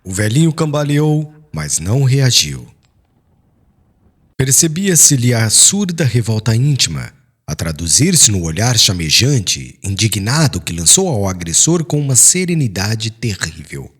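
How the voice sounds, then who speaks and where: A man reading an audiobook as dramatic literary narration, in a solemn, formal and expressive manner. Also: fairly low pitch, unhurried, slightly rough. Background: background music.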